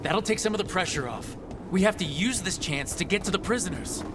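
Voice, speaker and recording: A man's voice: sits high, brisk, noisy background, close to an everyday voice. A man playing a determined fantasy adventurer who leads his party in a video game, with an urgent, terse manner. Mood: urgent resolve.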